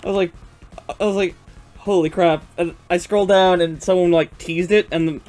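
A man's voice: high-pitched, steady tone, normal speed, a little mellow. A man who stutters, chatting casually and profanely during a live art stream, rambling and self-deprecating.

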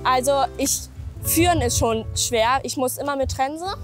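A young woman with a fairly high voice talks casually as a rider, explaining things in candid, everyday terms. Music is clearly audible behind her. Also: measured pace. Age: young adult. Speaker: horse owner and rider. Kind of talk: casual chat.

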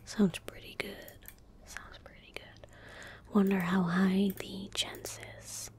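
Whispering young woman, slow and soft. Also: medium pitch, little pitch movement. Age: young adult. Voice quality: breathy whisper. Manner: unhurried, murmuring to herself as she plays. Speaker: ASMR gaming streamer. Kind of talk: soft-spoken ASMR game commentary. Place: indoor room at a gaming setup. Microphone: close desk microphone.

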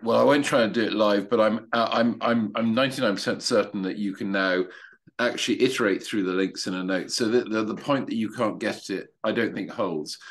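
A man speaking casually on a video call, opinionated, with frequent halting restarts. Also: deep voice. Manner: hesitant, many restarts, opinionated. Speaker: meetup participant.

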